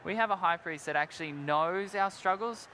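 A man's voice: high voice, normal speed, pushed and bright, slight background noise. A young man co-hosting a live youth Bible discussion on stage, earnest and upbeat, tossing in "like" and "right?". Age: young adult. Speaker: co-host of a youth Bible panel. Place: large indoor convention hall stage with crowd. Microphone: headset mic.

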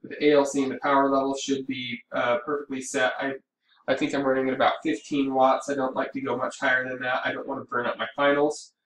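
Man in roomy, distant-mic tutorial, even tone. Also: mid-range voice, quick pace, slight background noise. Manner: informal, explanatory, thinking aloud. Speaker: amateur radio operator giving a tutorial. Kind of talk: casual screen-recorded software walkthrough.

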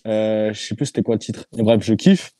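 A man's voice: fairly low pitch, fairly quick, mellow, no room sound, studio quality. A man from France, a YouTuber guest, answering interview questions over a video call in a hesitant, slangy way. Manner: hesitant, many fillers and restarts, loose slang.

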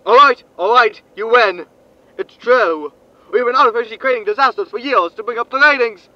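A man's voice: very high voice, a little fast, highs cut off, crowd noise. A man playing a scornful, flustered media schemer in a sci-fi comedy audio drama, sneering and exasperated. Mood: grudging defeat. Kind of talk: science fiction sitcom audio drama.

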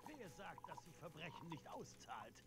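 A man's voice: fairly high pitch, very animated, moderate pace. A man playing a cocky, taunting poacher villain in a video game, boastful and menacing. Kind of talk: video game enemy barks. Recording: loud game noise over voice. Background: game ambience.

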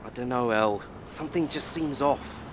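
A man's voice: medium pitch, moderate pace, noisy background, natural voice. An actor playing a worried, doubtful young man in a radio soap, hesitant in his talk. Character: hesitant, worried young lad short of cash. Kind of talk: radio soap opera acting.

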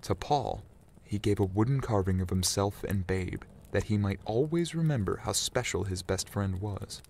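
A man narrating a scripted Christmas story as an animated storyteller. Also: lowish voice, normal speed, gravelly, a little hiss or hum.

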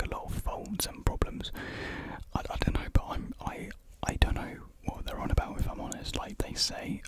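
A young man speaks entirely in a soft, airy whisper, right up against a condenser microphone in a small room. He rambles informally, as in a relaxed ASMR chat between friends. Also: low-quality recording. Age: young adult. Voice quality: soft breathy unvoiced whisper. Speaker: ASMR creator. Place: small indoor room with painted block walls. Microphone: large desk condenser mic close to the mouth.

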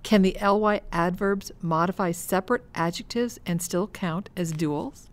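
A woman speaks as a podcast host. Her voice is a little low for a woman, and she presents questions and references in an orderly, conversational way. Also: unhurried. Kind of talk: podcast hosting, reading listener questions.

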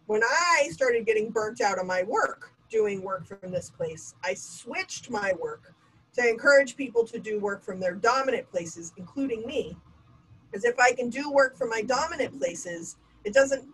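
A woman's voice: fairly high pitch, slightly rough, rough recording. A woman in her forties leading a diversity webinar over a video call, talking candidly with self-mocking asides. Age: 40s. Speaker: diversity trainer. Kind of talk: webinar lecture. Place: home room with bookshelf and bokeh lights. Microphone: webcam video call.

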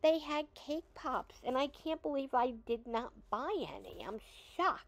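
A woman's voice: sits high, lively intonation, measured pace, vocal fry. A middle-aged woman hosting a shopping vlog, chatting in an upbeat, enthusiastic voice-over. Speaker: vlog host.

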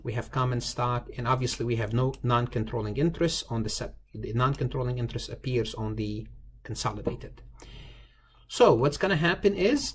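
A man's voice: medium pitch, slight background noise. A man giving an informal step-by-step tutorial as a lecturer, often repeating and rephrasing himself in a conversational way. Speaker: accounting lecturer. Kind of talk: informal screen-recorded tutorial, explaining step by step.

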